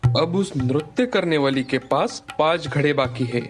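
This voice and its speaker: A man's voice: on the high side, animated, measured pace, faint music behind. A man voicing a grave, instructive holy man in a cartoon moral story. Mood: grave warning. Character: solemn holy man, a village sage. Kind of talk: animated moral-story dialogue.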